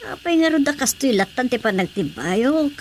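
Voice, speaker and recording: A woman's voice: high-pitched, very animated, normal speed, darkish tone, slight background noise. A woman playing an emotional, fretful female character in a radio drama.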